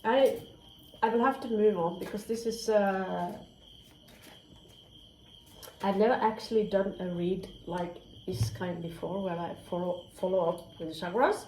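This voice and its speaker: A woman's voice: medium pitch, fairly even intonation, normal speed, a little mellow, a little hiss or hum. A woman giving a casual tarot reading, candid and chatty, swearing freely and dropping into whispered asides. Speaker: tarot reader. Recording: roomy echo, faint crickets.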